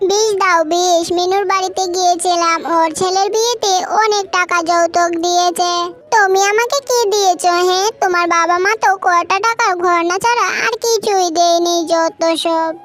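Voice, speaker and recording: Woman putting on a high-pitched nagging mother-in-law bird. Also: fairly even intonation, normal speed, over music. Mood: bitter. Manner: scolding, nagging. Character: bossy, suspicious stepmother-in-law tailorbird, older female. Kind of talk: Bengali cartoon story acting.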